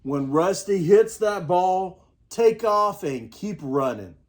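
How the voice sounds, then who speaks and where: A man's voice: high-pitched, lively intonation, slow pace, pitched above the performer's own voice. A man voicing an eager mouse team leader who urges the players on in a children's storybook. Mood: coaching. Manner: urging, calling out. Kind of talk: children's storybook read-aloud.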